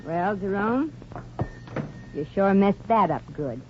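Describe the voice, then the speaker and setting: A woman's voice: medium pitch, lively intonation, takes it slowly. A woman playing a brash, wry, streetwise young woman with a big heart in a 1940s radio comedy, talking in bossy slang. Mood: sympathetic, wry. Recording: muffled, noisy 1940s radio recording.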